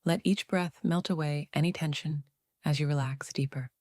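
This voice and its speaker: A woman narrating a guided meditation in a soothing, unhurried way, leaving long pauses between phrases. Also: sits low, steady tone, darkish tone, no room sound, clean studio sound.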